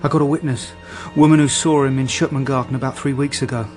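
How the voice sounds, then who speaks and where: A man's voice: medium pitch, little pitch movement, quick pace, darkish tone, faint music behind, lightly acted. Man acting a weary, understated detective. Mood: matter-of-fact. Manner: terse, understated. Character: weary, low-key male police detective Martin Beck. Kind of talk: radio drama acting.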